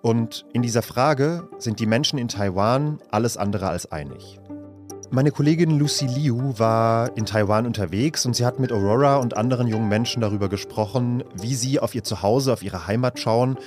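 A man hosting a news podcast, narrating a scripted report in a calm, measured way from an office. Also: mid-range voice, over music. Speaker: podcast host.

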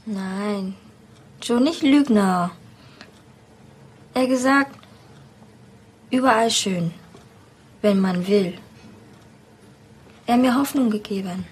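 A woman's voice: mid-range voice, slow pace, long pauses, a little hiss or hum, close to an everyday voice. An actress playing a hopeful Thai woman who speaks simple, broken German in a put-on accent, in a film scene. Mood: earnest, hopeful.

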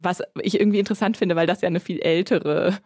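A woman co-hosting a book podcast, talking in a lively, friendly way about what she read. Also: on the low side, fast talker, no room sound. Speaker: podcast co-host, book critic. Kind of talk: casual book discussion.

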